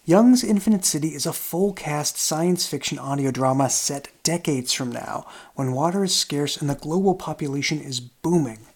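Mid-pitched show creator reading an announcement. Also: moderate pace, few pauses, a little mellow, husky. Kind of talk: scripted promotional narration.

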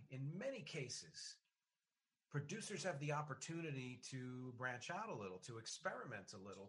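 A man in a conversational interview, talking in an analytical, halting way with emphasis on key words, as a podcast host would. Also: mid-range voice, bright, forceful tone. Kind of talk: conversational interview about music and film.

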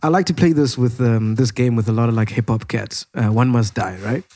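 A man's voice: mid-range voice, brisk, mellow. A young man hosting a podcast, chatting casually and teasing, often laughing and saying "bro". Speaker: podcast host.

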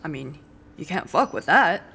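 A woman acting a brusque, impatient clerk in an audio drama, curt and sardonic with a deadpan, dismissive delivery. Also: sits low, moderate pace, creaky, slightly rough, exaggerated voice. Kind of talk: naturalistic fiction podcast acting.

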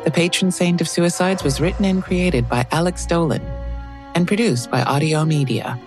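A man's voice: a little high, moderate pace. A man reading scripted end credits as the announcer of an audio drama. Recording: music bed under voice. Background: music.

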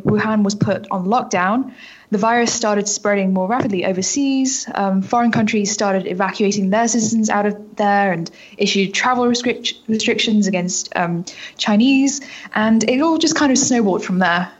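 A woman's voice: medium pitch, husky, muffled recording. A British woman in her twenties giving articulate, factual interview answers as a reporter. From United Kingdom. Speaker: news reporter, interview guest.

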